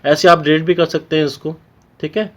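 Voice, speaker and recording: Indian man teaching, slightly high-pitched voice. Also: fairly even intonation, a little fast. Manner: repetitive check-in tags, stepwise explaining. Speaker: technical instructor. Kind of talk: informal tutorial explanation of software steps.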